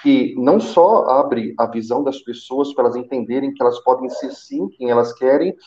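A man in his thirties talks earnestly through a headset on a video call and often repeats words as he restarts. His voice is mellow and dark. The call audio sounds muffled and echoes in the room. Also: mid-range voice, normal speed, slight background noise. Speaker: senior call center manager, guest. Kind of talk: live video chat, telling his career story.

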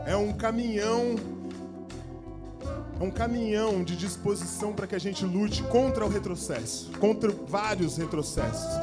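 High-voiced man rapping over a loud band. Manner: fervent, rhythmic, emphatic repetition. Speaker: vocalist and MC. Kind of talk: spoken word turning into rap over live music. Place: concert stage. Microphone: handheld mic. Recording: live concert, band very loud. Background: loud live band.

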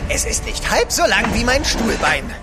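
A plucky brawler in a radio adventure, cheeky and defiant. The voice is very high and swings widely, with loud music underneath. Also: male voice, very animated, moderate pace, exaggerated voice. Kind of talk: German radio drama acting.

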